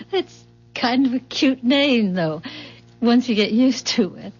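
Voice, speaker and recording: An actress playing a curious, wondering ordinary wife in 1970s radio drama style. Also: female voice, a little high, animated, takes it slowly, breathy edge, full character voice. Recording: dull 1970s radio recording, faint music.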